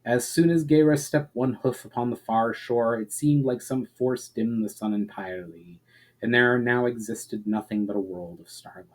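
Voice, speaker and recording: A man reading fantasy fiction aloud as a dramatic narrator, deliberate, with emphatic phrase openings that fall away at the ends. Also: mid-range voice. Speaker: author reading own story aloud.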